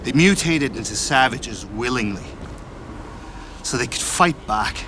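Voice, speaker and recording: Slow deliberate male explainer, played by a man, calm and analytical, loud game ambience. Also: mid-range voice, background noise, lightly acted. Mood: measured. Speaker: game character. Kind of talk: video game cutscene acting.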